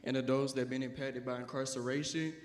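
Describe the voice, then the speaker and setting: Flat-voiced teenage man. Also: mid-range voice, quick pace, in a large hall. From North Carolina. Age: teens. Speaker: panelist. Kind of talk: self-introduction.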